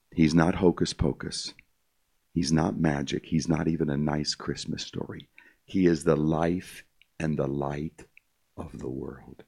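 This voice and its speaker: An older man preaching his testimony with a deep, low voice. His delivery is emphatic and repetitive as he keeps calling the listeners "my friend." There's a gravelly crackle on his words. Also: warm tone. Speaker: concert pianist giving testimony. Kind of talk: evangelistic testimony speech to a live audience.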